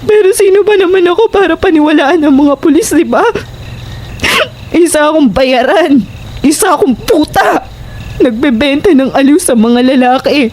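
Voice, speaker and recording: A woman's voice: sits high, moderate pace, darkish tone, lightly acted. A woman playing an emotional, fearful and bitter young prostitute in a Filipino horror audio drama. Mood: anguished, despairing. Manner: emotional, insistent, pleading. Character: distraught, fearful, bitter young prostitute who killed in self-defense.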